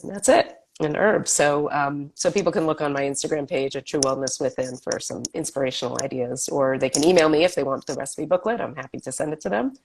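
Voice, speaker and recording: Woman, low-pitched, sniffly from a cold, upbeat guest. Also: fairly quick, few pauses, a little hiss or hum, poor audio. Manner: upbeat, promotional. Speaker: health coach, guest. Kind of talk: casual interview chat.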